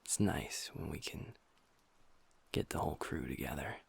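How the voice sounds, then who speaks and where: Soft sad werewolf bf, a guy doing it, super husky half-whispered voice, gravelly, audio rp. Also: extremely low pitch, lively intonation, moderate pace, heavily acted. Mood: subdued. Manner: soft, hushed, intimate talk to a partner. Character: gentle young male werewolf boyfriend, pack beta, guilt-ridden. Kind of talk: audio roleplay.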